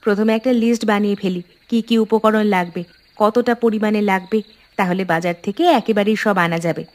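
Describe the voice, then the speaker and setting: A woman playing a caring, practical college-age daughter in an animated story, speaking in an earnest, reassuring way. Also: mid-range voice, a little fast, lightly acted. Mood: helpful, determined. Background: background music.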